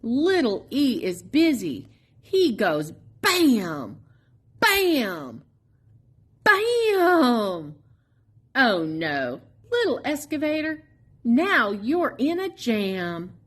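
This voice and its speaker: A woman reading a children's picture book aloud expressively, in a sing-song, drawn-out way with playful emphasis on sound words. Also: fairly high pitch, wildly swooping pitch. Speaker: storybook reader at a public library.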